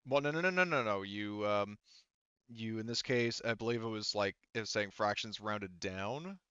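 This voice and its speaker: A man's voice: lowish voice, normal speed, pushed and bright, clean tone, dry recording, muffled recording. A man speaking casually as a game master, hesitantly thinking aloud as he works through tabletop game rules.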